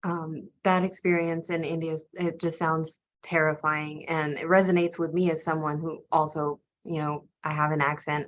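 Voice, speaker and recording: A woman interviewer who speaks warmly and admiringly, hesitating with fillers. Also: deep voice. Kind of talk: interview conversation. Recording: dull lo-fi audio.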